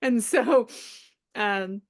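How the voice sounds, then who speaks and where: A middle-aged woman guest in a casual interview, an animated storyteller who laughs a lot, with a slightly raspy voice. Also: a little high, very slow. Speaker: guest, children's author.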